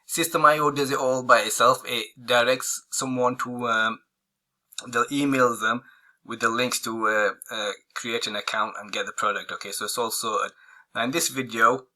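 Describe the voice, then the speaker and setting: Hoarse man talking through a screen tutorial. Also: mid-range voice, fairly even intonation, sharp tone, poor audio. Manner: rambling, halting, lots of fillers and restarts. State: losing his voice, coughing. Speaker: online marketing tutor. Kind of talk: casual screen-recorded tutorial.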